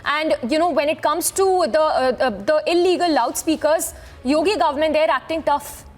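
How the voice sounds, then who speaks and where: A woman with a high voice and an Indian accent anchors live breaking news. She ad-libs haltingly, circling back over the same points as she reports. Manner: halting, repetitive ad-libbed delivery.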